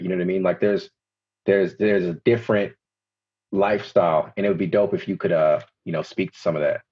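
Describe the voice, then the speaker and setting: A man's voice: deep voice, husky. A man hosting a podcast who asks long, rambling questions full of fillers and restarts. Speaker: podcast host. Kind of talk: casual interview questions. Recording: dull lo-fi podcast audio.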